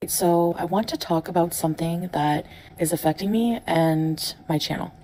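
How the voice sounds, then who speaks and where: A woman's voice: on the low side, normal speed, dark tone, slight background noise, low-quality recording. A woman creator speaking to camera, explaining an aggrieved complaint. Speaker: content creator. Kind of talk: monologue to camera.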